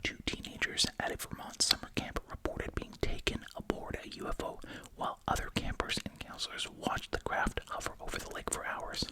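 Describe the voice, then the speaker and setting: An ASMR host speaks entirely in an unvoiced whisper, right up close to the microphone. The delivery is hushed and informal, moving between reading aloud and musing asides, with gum being chewed along the way. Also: fairly quick, hardly any pauses. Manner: chewing gum while speaking.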